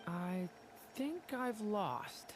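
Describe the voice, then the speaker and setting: A man voicing an earnest, gentle young dragon boy in an anime video game. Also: sits high, expressive, drawn-out words, noisy background, exaggerated voice. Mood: dejected.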